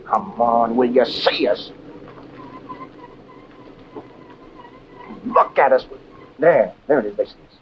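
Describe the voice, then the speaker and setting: An actor playing a tough, loyal American adventurer with terse, slangy, hard-boiled lines in a 1940s radio drama. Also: male voice, mid-range voice, normal speed, natural voice. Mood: tense, urgent. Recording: muffled vintage radio recording, faint noise.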